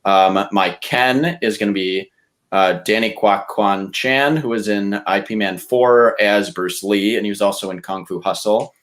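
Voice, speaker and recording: A man on a podcast casually pitching a movie, with a halting, informal delivery. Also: fairly bright tone.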